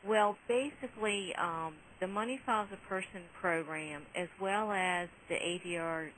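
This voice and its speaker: Woman official on a conference call, bright lowish voice, talks thru details kinda off the cuff, bit of hiss. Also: normal speed, rough recording. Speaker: government program official answering questions. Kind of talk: informal explanatory Q&A on a conference call. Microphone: conference call phone line. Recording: hissy conference call audio.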